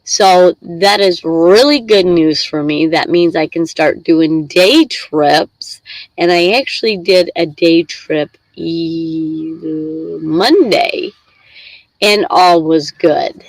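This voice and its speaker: Stuffy-nosed middle-aged woman rambling on her live stream, slow and unhurried, dry close sound. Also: fairly low pitch, no room sound, rough recording. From Michigan. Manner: chatty, rambling, reads chat aloud. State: congested with a head cold. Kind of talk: casual live stream chat.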